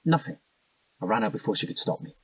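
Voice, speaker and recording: An actor with a British accent playing a volatile, defensive suspect under interrogation, husky and faltering, in an audio drama. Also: male voice, lowish voice, lively intonation, quick pace, low-quality recording. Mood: flustered, evasive.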